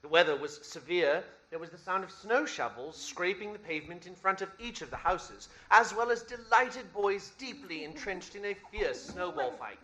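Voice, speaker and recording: A man narrating a scripted radio play in an expressive, theatrical storytelling style. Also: high voice, normal speed, few pauses.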